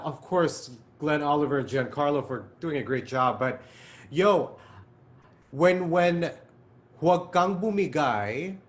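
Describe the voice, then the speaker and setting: Casual man, playwright on a video call. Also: on the high side. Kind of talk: casual talk-back answer.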